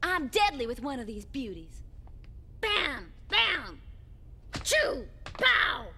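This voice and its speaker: A woman voicing a brash, teasing, impulsive androgynous young alien cadet in an animated film dub, cocky and blunt. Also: high-pitched, slow pace, vocal fry, slight background noise, heavily acted. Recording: reverberant film soundtrack with music behind.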